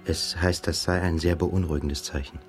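An actor as a reserved Russian émigré journalist in a radio drama. His voice is low, and he talks politely, with some hesitation, in close, conversational dialogue. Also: steady tone, normal speed, faint music behind, natural voice. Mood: reserved, intrigued.

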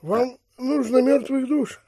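A man's voice: extremely high pitch, steady tone, takes it slowly, creaky, mellow, breathy edge, no room sound, exaggerated voice. A man playing a blunt, bearish, unperturbed provincial landowner who drives a hard bargain in an audio drama. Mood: matter-of-fact.

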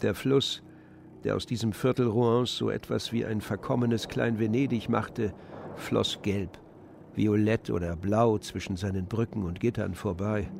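A man's voice: lowish voice, steady tone, unhurried, vocal fry, a little mellow, a little hiss or hum. A man reading literary narration as the storyteller of a radio play.

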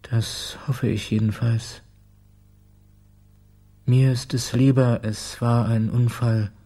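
An actor playing a reserved, resigned keeper of holiday lodgings in a German radio crime drama. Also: male voice, medium pitch, level tone, slow pace, warm tone.

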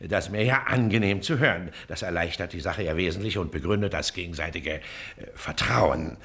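An actor in a German radio play, playing a haughty, officious Prussian magistrate who pauses and hums condescendingly as he talks. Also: low-pitched, a bit bright. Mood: probing. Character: pompous, officious Prussian local magistrate, a baron.

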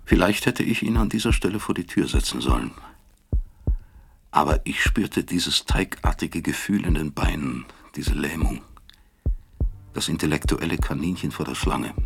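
A man acting a weary, self-accusing professor who recalls his past in a radio drama, speaking deliberately with a gravelly, husky voice. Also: extremely low pitch, lively intonation, a little hiss or hum, heavily acted. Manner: deliberate, reflective, measured. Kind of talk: radio drama first-person narration.

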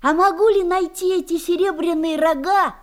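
A woman's voice: very high-pitched, fairly even intonation, normal speed. A woman playing an eager, plucky young grandson who talks with naive wonder in a children's radio play. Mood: hopeful.

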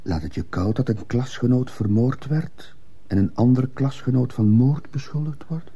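A man playing a troubled schoolteacher in a radio drama, speaking with restraint and quiet insistence. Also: mid-range voice, moderate pace, husky. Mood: probing, insistent. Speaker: actor in a radio play. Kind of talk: Dutch-language radio drama acting.

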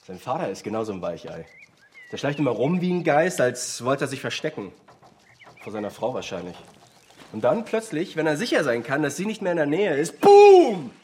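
A blunt, resentful teenage boy in a radio drama. His voice sits fairly high for a male, and he talks in youth slang as if giving an interview. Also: huge pitch swings, moderate pace, darkish tone. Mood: scornful, agitated.

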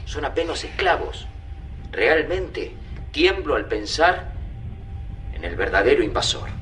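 An actor playing a thoughtful, wary resistance fighter in a radio drama, an Argentine man who reasons gravely. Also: mid-range voice, sing-song, measured pace, a bit bright, faint music behind. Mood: grave, foreboding.

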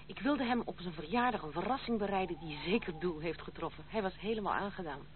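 A woman's voice: fairly low pitch, animated, fast, crowd noise, natural voice. Tinny radio-play proud young woman, played by an actress. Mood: composed. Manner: formal, resolute, reproachful. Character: proud, stern young woman, hardened granddaughter of a general. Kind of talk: Dutch radio drama acting. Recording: tinny, noisy old radio play recording.

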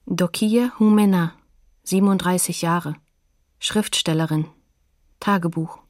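A woman's voice: on the low side, a little slow, dry recording, clean studio sound. A woman announcing sources in a scripted documentary, factual and clipped.

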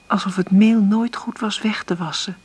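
A woman's voice: medium pitch, steady tone, moderate pace, heavy background noise, lightly acted. An actress playing an anxious single mother in a naturalistic radio play, speaking in a hesitant, faltering way. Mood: wistful, reflective.

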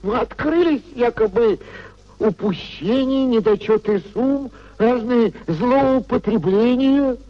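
An actor playing a sanctimonious, fretful old government clerk in a 1950s Soviet radio play, moralizing in a pompous, lamenting manner. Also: male voice, extremely high pitch, takes it slowly. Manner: pompous, unctuous, sententious, lamenting. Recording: dull 1950s radio recording.

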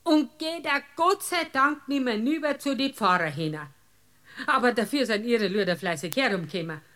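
Bavarian village gossip on the witness stand, high voice, aggrieved, tells it all very dramatically, radio comedy. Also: female voice, expressive, normal speed, a bit bright. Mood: aggrieved storytelling. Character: indignant, gossipy Bavarian village woman testifying. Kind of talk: dialect radio comedy acting.

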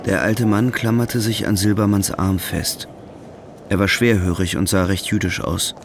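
Man, scripted drama narration, low pitch, gravelly fry, faint music. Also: steady tone, normal speed, slight background noise.

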